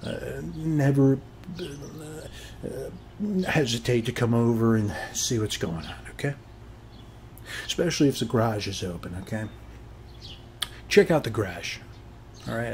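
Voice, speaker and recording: A man's voice: mid-range voice, slight background noise, natural voice. A man playing a friendly, chatty suburban neighbor in a casual ASMR roleplay, rambling reassuringly in a folksy way and tagging phrases with short check-in questions. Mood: warm, neighborly. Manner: folksy, reassuring, repeats "okay?" as a tag. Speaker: ASMR performer.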